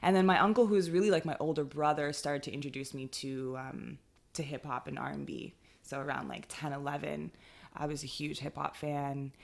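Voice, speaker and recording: A woman in her thirties with a low voice talks casually as an interview guest. She rambles loosely, dropping "like" and "you know what I mean" and laughing often. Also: flat delivery, a little fast, vocal fry. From Canada. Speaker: DJ and producer guest.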